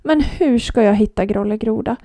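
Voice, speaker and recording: A woman's voice: on the high side, warm tone, dry recording, lightly acted. A woman voicing a worried, polite little girl in a children's story.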